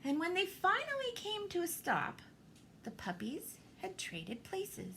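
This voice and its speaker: A woman reading a children's picture book aloud, expressively, with theatrical pauses and deliberate emphasis. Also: very high voice, wildly swooping pitch, gravelly, sharp tone, slight background noise. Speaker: storybook reader, teacher.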